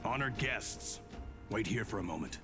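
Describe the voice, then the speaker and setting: A man voicing a stern imperial guard in a fantasy game, formal, measured and unyielding. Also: fairly low pitch, lively intonation, over music, close to an everyday voice. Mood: stern, courteous.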